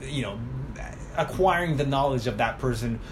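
A man's voice: medium pitch, animated, moderate pace, noisy background. A man in a casual conversation, thinking aloud with frequent restarts and tag questions like "right?". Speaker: conversation partner. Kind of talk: casual chat.

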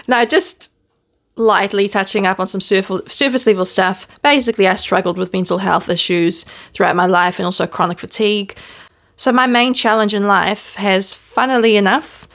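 Gravelly-voiced New Zealand woman, gentle and candid. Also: medium pitch, normal speed. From born in the Netherlands, raised in New Zealand. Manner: gentle, candid, with soft self-deprecating laughs. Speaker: podcast host. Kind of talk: casual reflective monologue to camera. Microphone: self-filmed video camera.

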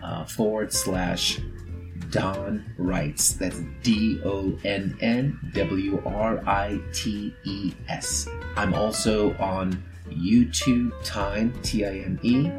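A man's voice: fairly low pitch, steady tone, extremely slow, poor audio. A male storyteller guest giving a spoken plug with careful enunciation, plucked guitar music behind him. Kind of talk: spoken plug, spelling out addresses.

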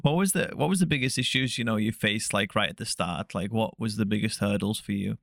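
A man's voice: fairly low pitch, a little fast, gravelly, a bit bright, no room sound, studio quality. A young man hosting an interview podcast, asking long, rambling questions full of fillers. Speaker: podcast host. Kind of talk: casual interview.

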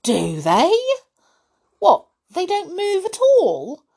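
A woman's voice: lowish voice, expressive, unhurried, darkish tone. A woman voicing a blunt, chatty young wizard boy.

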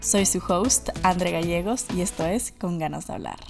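A woman with an Ecuadorian Spanish accent hosts a personal podcast monologue, speaking reflectively and deliberately, repeating phrases for emphasis. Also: on the low side, creaky, over loud music. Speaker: podcast host.

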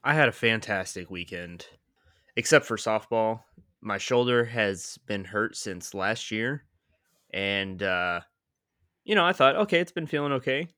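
A man's voice: fairly low pitch, lively intonation, measured pace, pushed and bright, dry recording. A man, co-hosting a casual sports podcast with wry, dry jokes and frequent laughs. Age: 20s. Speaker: podcast co-host.

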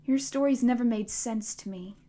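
A woman's voice: a little high, normal speed, warm tone, lightly acted. Woman voicing a desperate grieving teenage boy. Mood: exhausted. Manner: pleading, voice rising, choked.

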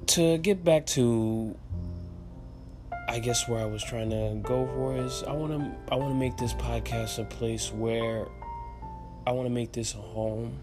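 A man in his twenties from the Dominican Republic, with Spanish as his first language and a light accent, talking earnestly and a bit ramblingly as a podcast host, restarting his sentences. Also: fairly low pitch. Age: 20s. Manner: earnest, rambling, motivational, with many fillers and restarts. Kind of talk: casual solo podcast monologue.

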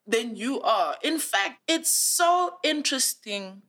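Young South African woman, earnest and animated. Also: high voice, takes it slowly. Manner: earnest, animated storytelling with many "like" fillers. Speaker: guest. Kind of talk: casual conversational interview.

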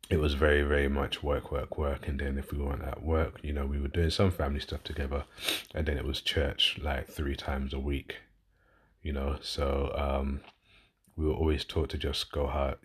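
Thirties London man, podcast host, very low flat delivery, loose and rambling. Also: slightly rough. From London, England. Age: 30s. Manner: rambling, self-correcting, frequent fillers. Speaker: podcast host and fitness coach. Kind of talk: casual personal monologue.

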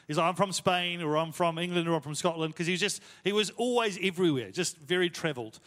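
A man's voice: sits high, fast talker, fairly bright tone. A man preaching a sermon, telling stories in a casual, self-deprecating way with many fillers. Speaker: pastor. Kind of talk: sermon storytelling. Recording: long hall reverb.

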